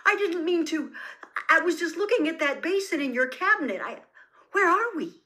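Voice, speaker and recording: A woman's voice: very high voice, expressive, normal speed, pushed and bright, pitched above the performer's own voice, exaggerated voice. A woman voicing a frightened, apologetic teenage boy wizard in a storybook, urgent and strangled. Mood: panicked, apologetic.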